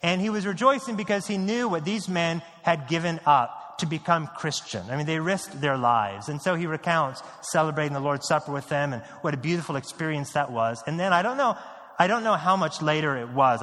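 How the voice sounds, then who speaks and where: A man's voice: lively intonation, brisk. Earnest preacher man in echoing hall. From United States. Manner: earnest, repeats key lines for emphasis; drops low and quiet on grave points. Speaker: pastor. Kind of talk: sermon. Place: church sanctuary.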